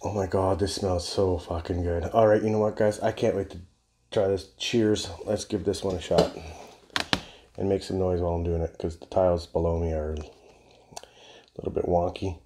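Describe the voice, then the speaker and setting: A man's voice: sits low, flat delivery, moderate pace, mellow. A man in his forties chatting casually to camera as a reviewer, enthusiastic and rambling, with casual swearing. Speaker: beer reviewer, video host. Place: indoor home bar room.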